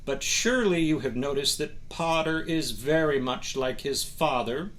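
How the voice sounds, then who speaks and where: A man voicing a sneering, superior wizard teacher in a storybook, with a silky, smooth, sarcastic delivery. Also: on the high side, measured pace, fairly bright tone. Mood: mocking.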